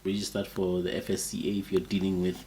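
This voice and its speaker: A man co-hosting a casual chat, his voice a little off from a flu, talking in a relaxed, musing way. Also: deep voice, quick pace, slight background noise, poor audio. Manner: relaxed, musing, laughs easily. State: sick with flu, voice a bit off. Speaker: co-host.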